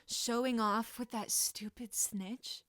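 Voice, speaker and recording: A woman's voice: fairly high pitch, fairly even intonation, slow, a bit bright, no echo, studio quality. A woman voicing a proud, hurt teenage witch girl with a cutting, indignant manner and a slight tremor. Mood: furious.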